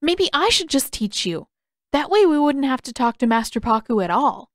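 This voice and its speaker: Female reader as naive, earnest young boy for audiobook dialogue, high pitch. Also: very animated, normal speed, no room sound, studio quality. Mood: excited. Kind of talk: character dialogue in audiobook narration.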